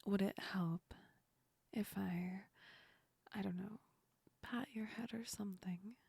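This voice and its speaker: A woman playing a teasing yet comforting female sleep demon in a whispered ASMR roleplay, breathy and soft, speaking close to the ear. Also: mid-range voice, steady tone, takes it slowly, no room sound, exaggerated voice. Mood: flustered, apologetic. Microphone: very close ASMR microphone.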